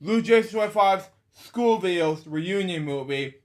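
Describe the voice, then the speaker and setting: A man in his twenties with a somewhat high voice reads aloud casually as the host of a story series. He stumbles over words, then drifts into rambling, off-the-cuff commentary and falls back on the same catchphrases. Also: animated. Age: 20s. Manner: halting, stumbles over words while reading; rambling asides with repeated catchphrases.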